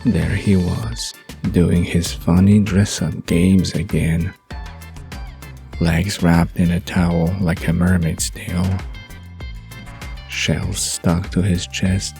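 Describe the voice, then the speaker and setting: A man's voice: low-pitched, flat delivery, slow, vocal fry, a little mellow, soft background music. A man narrating a scripted romantic bedtime story as the narrator of an audio drama.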